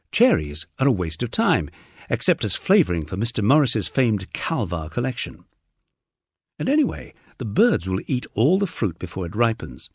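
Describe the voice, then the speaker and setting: Low-voiced, husky man reading an audiobook. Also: dry recording, clean studio sound. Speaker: audiobook reader. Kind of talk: scripted audiobook narration of a memoir.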